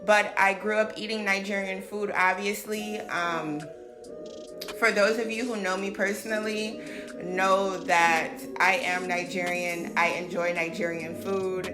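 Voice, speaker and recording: A woman's voice: medium pitch, flat delivery, measured pace. A woman, a cooking vlogger narrating her video in a chatty, upbeat way with frequent fillers and laughs. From United States. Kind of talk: casual vlog narration. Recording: roomy echo with background music.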